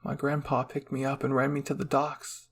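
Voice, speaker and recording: A man reading a first-person fan fiction story as soft, measured ASMR narration. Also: medium pitch, completely flat delivery, a little hiss or hum.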